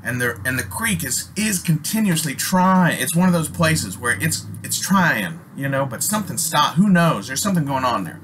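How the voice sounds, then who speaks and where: Rambling, profane American storyteller with background noise. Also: male voice, on the high side, normal speed, hardly any pauses. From Alaska, United States. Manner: profane, animated, rambling, slangy. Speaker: storyteller, host. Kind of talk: casual personal storytelling, unscripted. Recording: noisy amateur recording with ambient sound.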